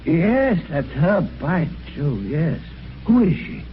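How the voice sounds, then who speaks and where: A man's voice: a little high, big pitch swings, takes it slowly, slight background noise. An actor playing a well-spoken British amateur sleuth, telling his story conversationally in a radio mystery. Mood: startled. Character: genteel British amateur sleuth recounting his eerie adventure. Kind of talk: 1950s radio mystery acting, first-person narration. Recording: dull old radio recording, music behind.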